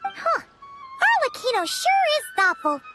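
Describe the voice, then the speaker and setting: A woman voicing a tiny, childlike fairy companion in a video game, squeaky, bubbly, cheerful and chatty. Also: wildly swooping pitch, slow, loud music behind the voice, full character voice. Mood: eager, cheerful. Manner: bubbly, exclamatory, speaks of herself in third person. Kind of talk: animated video game character acting.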